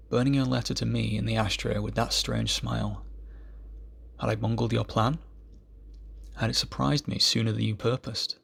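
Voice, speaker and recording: Low, very gravelly man reciting poetry. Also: fairly bright tone. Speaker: amateur poetry reader.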